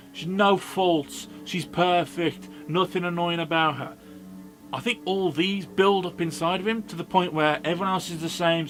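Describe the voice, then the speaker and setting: A man's voice: sits high, a bit bright. A man giving a casual movie review as a video host, in a blunt, sweary, informal manner. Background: background music.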